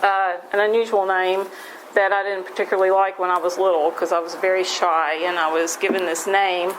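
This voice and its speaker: A woman's voice: on the low side, little pitch movement, a little fast, a little hiss or hum, rough recording. A woman from West Virginia with a West Virginian accent, giving a folksy, rambling personal recovery share full of restarts and self-deprecating asides. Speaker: speaker at a recovery meeting.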